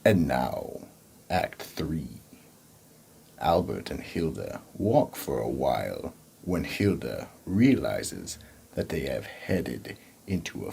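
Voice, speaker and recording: Very deep gravelly male narrator. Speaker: narrator and announcer. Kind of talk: dramatic scripted radio mystery narration.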